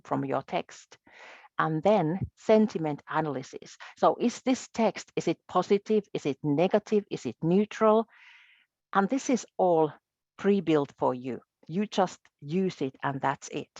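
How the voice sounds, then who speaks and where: Low-voiced woman from Finland explaining software. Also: vocal fry, no echo, highs cut off. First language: Finnish. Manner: explanatory, methodical, with small restarts. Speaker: presenter. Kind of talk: software demo walkthrough.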